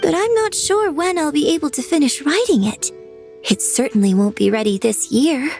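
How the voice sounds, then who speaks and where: A woman's voice: high voice, expressive, normal speed, darkish tone, faint music behind. A woman voicing a gracious, polite young female writer who speaks with poise in a game cutscene. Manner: courteous, poised, formal.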